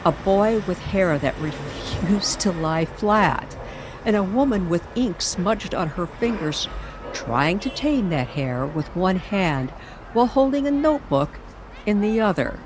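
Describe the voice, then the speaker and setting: Female narrator for an eerie radio-style tale: unhurried, highly animated, suspenseful pauses. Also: noisy background. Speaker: storyteller narrator. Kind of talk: dramatic scripted narration of a paranormal radio story.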